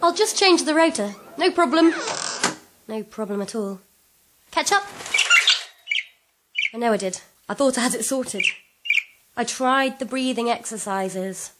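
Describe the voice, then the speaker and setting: Casting: actress as flustered female boss, high swooping voice, nervous evasive chatter, noisy recording. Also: lively intonation, unhurried, long pauses. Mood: anxious muttering. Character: flustered, awkward, anxious female office boss. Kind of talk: comic audio drama acting.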